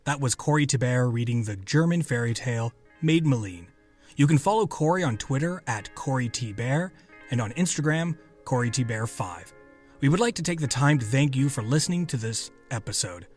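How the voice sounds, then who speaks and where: A man's voice: mid-range voice, expressive, moderate pace. A man reading a scripted podcast outro as the announcer. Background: faint music.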